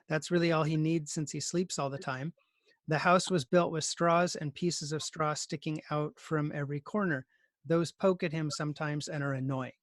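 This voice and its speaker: A man's voice: on the high side, fairly bright tone, no room sound, clean studio sound. A man leading a script read over a video call, casually moderating and reading scene descriptions. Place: remote video call, close dry sound.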